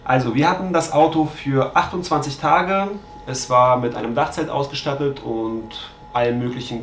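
Gravelly man reading out travel costs. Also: medium pitch, roomy sound, a little hiss or hum. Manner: matter-of-fact, reads figures from notes. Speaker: travel vlogger. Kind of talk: scripted cost breakdown to camera. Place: bedroom with mosquito net, indoors.

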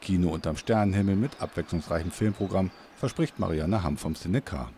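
A man's voice: deep voice, animated, brisk, warm tone, a little hiss or hum. A man reading a scripted local news report as narrator.

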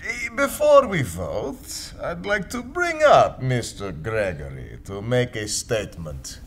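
A man's voice: medium pitch, huge pitch swings, slow pace, slight background noise, full character voice. An actor playing the formal, businesslike chairman of a secret anarchist meeting in a radio play.

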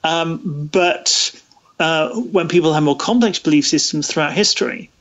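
A man being interviewed as a guest, explaining things in a halting, discursive way with false starts. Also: on the high side, fairly bright tone, muffled recording.

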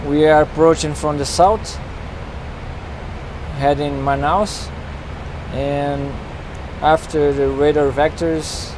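A man, a co-pilot, calmly explaining the landing approach step by step. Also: a little high, slow, long pauses. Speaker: airline co-pilot. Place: airliner cockpit. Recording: noisy cockpit with engine hum.

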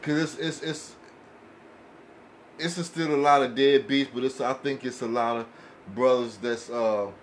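An American man chatting casually as a podcast panelist, halting and repeating phrases as he goes. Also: medium pitch, steady tone. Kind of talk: casual chat. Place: indoor podcast table. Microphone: studio microphone. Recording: noticeable background noise, room ambience.

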